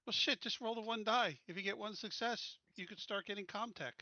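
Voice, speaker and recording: A man's voice: sits high, fairly quick, pushed and bright, highs cut off, slight background noise. A man playing a tabletop game, chatting casually and bluntly with the group.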